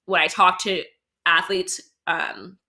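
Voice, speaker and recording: A woman's voice: fairly low pitch, steady tone, slow, sharp tone. A young woman hosting a casual, chatty online show in a playful, teasing, informal way. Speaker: talk show host. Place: remote livestream.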